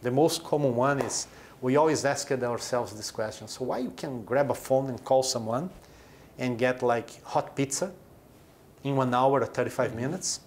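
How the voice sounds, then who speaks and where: A man's voice: mid-range voice, normal speed, slight background noise. A man in his forties from Brazil, a company chief explaining his business in an interview, with short emphatic phrases. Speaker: chief executive of a brewing company.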